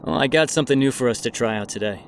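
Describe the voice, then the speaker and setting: A young man playing a low-key, hesitant band member in an audio drama, speaking casually. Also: racing, slight background noise, close to an everyday voice. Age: young adult.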